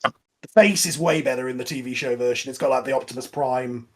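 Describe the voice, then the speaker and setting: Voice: medium pitch, brisk, fairly bright tone. A person chatting casually as a panelist on a film commentary.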